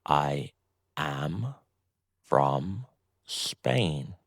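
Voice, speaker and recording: A man reading a slow, scripted beginner English lesson, saying each short sentence twice with deliberate pauses. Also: very deep voice, lively intonation, no echo, studio quality. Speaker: English teacher.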